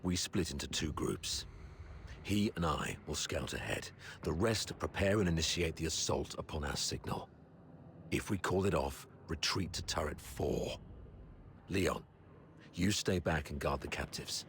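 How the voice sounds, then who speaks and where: An actor playing an older, weathered army commander in a game, measured and authoritative, wry and steadfast. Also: male voice, a bass voice, animated, vocal fry, fairly bright tone, a little hiss or hum, exaggerated voice. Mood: wry, commanding. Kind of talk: game voice acting.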